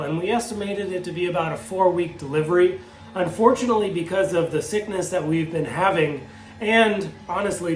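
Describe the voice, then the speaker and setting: A man's voice: high voice, rough recording. A man who is sick and has nearly lost his voice, explaining car parts step by step in his workshop. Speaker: shop owner showing parts. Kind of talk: casual product update. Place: workshop with a 3D printer running. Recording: faint printer hum behind. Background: faint printer noise.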